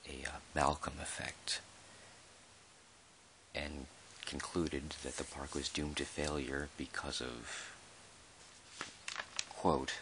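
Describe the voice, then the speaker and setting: A man playing a measured, dry park employee who dictates an official audio log in a halting, deliberate way, in ASMR roleplay style. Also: extremely low pitch, sharp tone, slight background noise, heavily acted. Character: male dinosaur park employee, measured, dryly put-upon record keeper.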